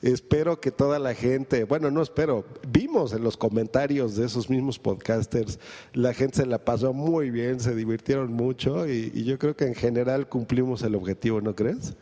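Mellow podcast host, echoing sound. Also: male voice, medium pitch, moderate pace. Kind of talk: casual chat with a guest. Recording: long echoing reverb.

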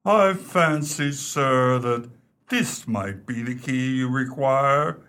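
An actor playing an unflappable, discreet English valet in a radio play, courteous, measured and deferential. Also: male voice, medium pitch, a bit bright. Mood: composed, deferential.

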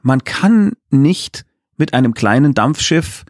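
A man's voice: mid-range voice, animated, slow pace, no room sound, clean studio sound. A man speaking as a guest director in a casual interview, explaining things in chatty, enthusiastic detail. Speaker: guest, audio drama director.

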